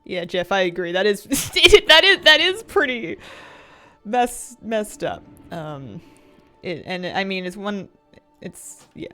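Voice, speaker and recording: A woman in her thirties chatting casually while she plays a game, rambling and halting with restarts and soft laughs. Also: medium pitch, very animated, faint music behind. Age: 30s. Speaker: streamer. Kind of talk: casual chat while gaming.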